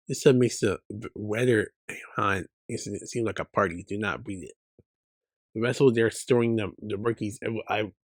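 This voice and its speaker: A man's voice: on the low side, no echo. A man performing dialogue from a dramatic story.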